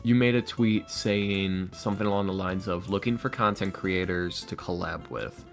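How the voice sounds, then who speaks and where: A man's voice: deep voice, normal speed, creaky, soft background music. A man chatting informally as a podcast guest, telling anecdotes and acting out the quotes in them. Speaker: podcast guest, voice actor and ASMR creator. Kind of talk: casual chat, storytelling.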